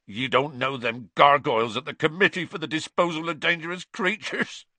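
A man voicing a huge, soft-hearted, distraught gamekeeper in an audiobook, with choked, tearful, rustic and ungrammatical speech. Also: fairly low pitch, expressive, normal speed, no room sound. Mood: tearful, despairing.

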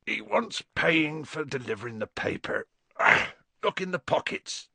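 A man voicing a huge, gruff, good-natured giant man with a blunt, homely way of talking. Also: a little high, sing-song, unhurried, bright, forceful tone, dry recording, voice pushed up, full character voice.

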